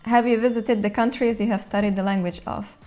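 A young woman from Latvia, a native Russian speaker, answering viewers' questions in English while seated in a bright room. She talks in a thoughtful, easygoing way with light laughs now and then. Her voice is picked up close by a clip-on mic. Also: mid-range voice, steady tone, brisk. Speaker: language channel host. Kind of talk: casual Q&A to camera. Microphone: lapel mic.

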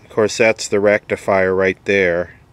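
A man giving casual, off-the-cuff narration of a repair job, halting as he thinks aloud. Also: sits low, steady tone, fairly bright tone, clear, resonant tone. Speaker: host, vintage radio repairer. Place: beside an old console radio being worked on.

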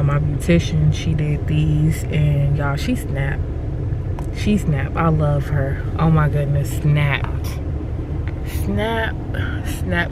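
A young woman chatting casually and informally to her viewers as a vlogger, with emphatic delivery. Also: low voice. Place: inside a car. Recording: car heater and road noise behind.

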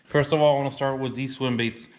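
A man in his thirties casually showing his fishing gear as a vlogger, relaxed and plainspoken, saying "y'all". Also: mid-range voice, quick pace. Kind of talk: casual show-and-tell. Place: indoors, then a wooden deck.

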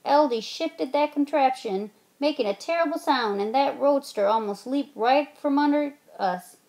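A woman's voice: high-pitched, moderate pace, a little mellow, pitched above the performer's own voice, lightly acted. A woman reading aloud voices a mischievous old aunt who crows her story in a folksy drawl. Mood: crowing.

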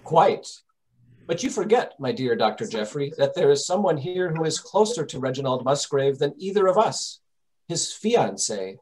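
Guy doing a shrewd gentleman detective for a radio mystery, formal, highish voice, really lively pitch. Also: normal speed, low-quality recording. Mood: composed, pointed. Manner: formal, precise, assured. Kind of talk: old-time radio mystery acting.

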